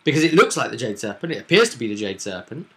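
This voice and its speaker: A man hosting a casual recap of a tabletop roleplaying session as game master, telling it with dramatic emphasis and pauses. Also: mid-range voice, big pitch swings, a bit bright, slight background noise.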